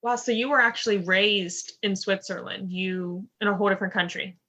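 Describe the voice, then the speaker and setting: A woman's voice: mid-range voice, fairly even intonation, a little fast, pushed and bright, dull audio. A woman hosting a casual podcast interview, upbeat and chatty, with plenty of fillers and "like". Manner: upbeat, lots of fillers and 'like'. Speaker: podcast host.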